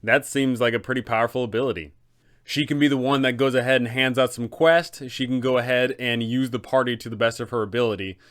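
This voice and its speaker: A man's voice: mid-range voice, fairly even intonation, fast talker, a bit bright, smooth. A man narrating tabletop roleplaying advice in an explanatory style, as a game master.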